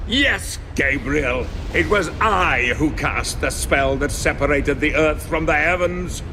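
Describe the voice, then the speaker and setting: An actor playing an ancient, scheming necromancer lord in a dark fantasy game, theatrical and smug with mock courtesy. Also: male voice, fairly high pitch, takes it slowly, background noise. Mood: grandiose, gloating. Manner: theatrical, gloating villainous monologue with mock courtesy. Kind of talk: dramatic video game villain acting.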